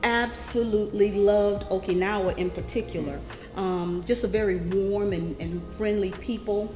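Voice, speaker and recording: A woman in her fifties talks through her life story, warm and chatty with frequent pauses. Her voice rings in a roomy space with a long reverb tail. Soft music plays faintly underneath. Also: mid-range voice, steady tone. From South Carolina, US. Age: 50s. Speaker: interviewee. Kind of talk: casual conversation. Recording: echoey room with long reverb.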